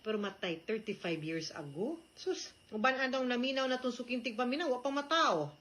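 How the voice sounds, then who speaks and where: A woman's voice: fairly high pitch, expressive, brisk, fairly bright tone. A woman with a Cebuano Filipino accent hosts a legal advice show, explaining things informally and conversationally, with frequent tag questions and some English mixed in.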